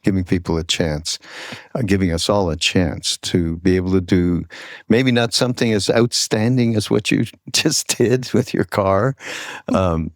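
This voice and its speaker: Male podcast host, low pitch, leisurely pace. Casual interviewer who meanders and pauses mid-thought. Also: fairly even intonation, no room sound, studio quality.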